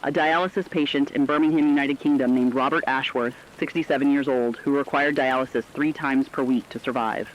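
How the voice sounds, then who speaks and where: A man's voice: mid-range voice, fairly even intonation, a little fast, hardly any pauses, slight background noise. Solemn man on dull lo-fi radio. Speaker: radio broadcast narrator. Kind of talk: scripted narration, solemn human-interest storytelling.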